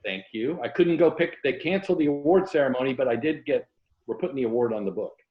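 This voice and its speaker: A mature man with a mid-pitched voice speaks in a casual, reflective storytelling way as an interview guest. The sound is roomy and slightly dull, like a lo-fi call. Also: quick pace. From Tampa, Florida.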